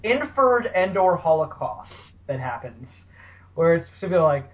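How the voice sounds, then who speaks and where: A man with a slightly high voice co-hosts a casual panel podcast. He talks in a teasing, loosely profane way among friends. The audio is a bit dull and lo-fi. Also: big pitch swings, a little slow. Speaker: podcast host. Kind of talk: casual panel chat about fan fiction.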